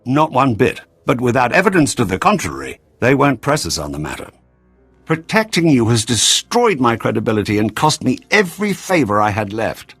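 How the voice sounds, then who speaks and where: A resentful, weary ambassador in a game drama. His husky voice is formal and aggrieved, swinging widely in pitch, over faint music. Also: huge pitch swings, moderate pace, a bit bright, dry recording, exaggerated voice. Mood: indignant, resigned. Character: bitter, disgraced senior male ambassador, weary and indignant. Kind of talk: dramatic video game voice acting.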